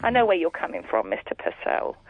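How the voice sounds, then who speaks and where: An actress playing a brisk, blunt and bossy estate agent. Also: female voice, lowish voice, wildly swooping pitch, creaky, breathy edge, dry recording, heavily acted. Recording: tinny dry phone-line sound.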